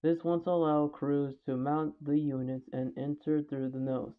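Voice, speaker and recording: A man's voice: a little high, flat delivery, a little slow. A man delivers scripted, factual narration in the manner of a homemade documentary. The sound is heavily band-limited and phone-like, with little fullness. He reads from a script and now and then trips slightly over it. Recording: tinny, phone-like narrow band.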